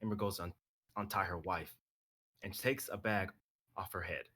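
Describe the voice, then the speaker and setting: A man's voice: low-pitched, steady tone, normal speed, pushed and bright, breathy edge. A young man on a live stream, reading his own dramatic script and chatting casually about it. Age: young adult.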